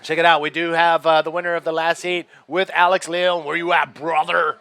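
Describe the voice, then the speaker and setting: Male color commentator, bright and crisp tone, energetic calls. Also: fairly high pitch. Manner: upbeat, quick to laugh, excited calls. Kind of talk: live sports commentary.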